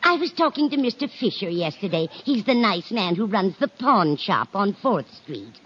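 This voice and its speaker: An actress playing a kindly, scatterbrained older landlady in a 1950s radio sitcom, with a husky, earnest, chatty voice. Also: mid-range voice, big pitch swings, moderate pace, a bit bright, slight background noise. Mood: chatty, helpful. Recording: dull vintage 1950s radio recording.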